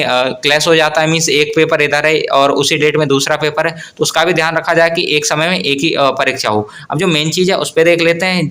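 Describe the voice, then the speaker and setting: Bright-voiced man, explaining. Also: fairly high pitch. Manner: explanatory, often rephrases and repeats points. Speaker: host of an exam news channel. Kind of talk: informal explainer update on government exam news.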